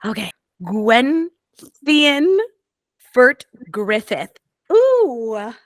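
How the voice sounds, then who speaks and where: A woman hosting a history podcast, bubbly and enthusiastic, quick to laugh. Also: a little high, sing-song, no echo, clean studio sound. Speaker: podcast host. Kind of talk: casual podcast chat.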